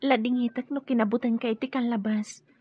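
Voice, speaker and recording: A wary young woman in a radio drama. She speaks high and husky, with a fearful temper. The sound is dry and muffled. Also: fairly even intonation, fairly quick. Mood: hesitant.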